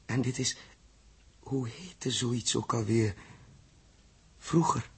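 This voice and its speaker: An actor playing a troubled, uneasy man who mutters haltingly and repeats himself, in a 1960s radio drama. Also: medium pitch, gravelly, fairly bright tone, breathy edge, exaggerated voice. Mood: brooding, hesitant. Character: troubled, hesitant grown man Joost, uneasy space traveller. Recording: muffled-voiced old 1960s radio recording.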